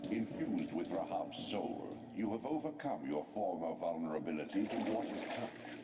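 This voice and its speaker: Deep, echoing ancient god. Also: male voice, animated, normal speed, distant mic. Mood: solemn guidance. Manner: solemn, formal, portentous. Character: ancient godlike spirit guide, male, grave and omniscient. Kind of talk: scripted video game narration. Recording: echoing, long reverb, noisy ambience.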